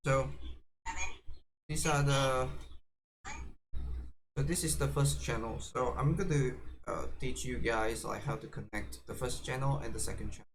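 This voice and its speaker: A man gives an informal tutorial at a slow pace, with clear background noise around him. He speaks haltingly in an average-pitched voice, often doubling back to restart or repeat a phrase as he demonstrates. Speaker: tutorial presenter. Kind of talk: casual unscripted how-to demonstration. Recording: clearly noisy background ambience.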